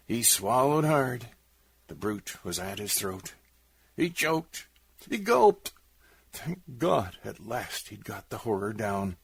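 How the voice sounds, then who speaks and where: A man's voice: lowish voice, vocal fry, fairly bright tone, husky. A man giving a theatrical, emphatic dramatic recitation of comic narrative verse. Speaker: host and reciter.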